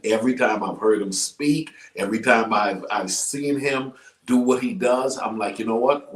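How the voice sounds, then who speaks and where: The folksy host of a homegrown motivational web show, a man calling out emphatically to viewers from a room that echoes around him. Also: lowish voice. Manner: folksy, emphatic, repeats phrases for effect. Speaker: talk show host, life coach. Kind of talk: informal interview, exuberant show opening. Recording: roomy, echoey sound.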